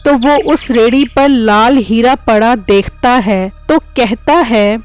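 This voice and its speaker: A woman narrates a scripted story at a slow, measured pace. Her voice sits slightly high, with faint music underneath.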